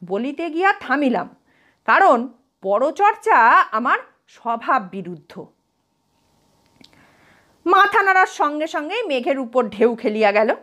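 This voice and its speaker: A woman reading literary fiction aloud as a narrator, in an expressive, dramatic scripted style. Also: sits high, a little slow, long pauses. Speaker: story narrator.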